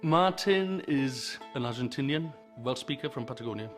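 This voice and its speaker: A man speaks calmly and in measured phrases about his character in a film interview. His voice sits at a middle pitch. Instrumental music plays clearly beneath him. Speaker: actor. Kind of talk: documentary interview.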